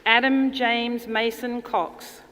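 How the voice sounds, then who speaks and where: High-voiced woman announcing in a reverberant hall. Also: flat delivery. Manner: measured, formal announcing with pauses between names. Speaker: graduation name announcer. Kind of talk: formal ceremonial reading of graduates' names. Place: large indoor ceremony hall. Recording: reverberant hall, audience noise, clear background noise. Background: audience crowd noise.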